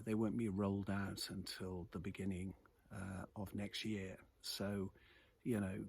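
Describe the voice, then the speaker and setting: A British man giving a casual, off-the-cuff commentary on the news in a reflective, halting way. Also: low voice. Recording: clearly noisy ambient background.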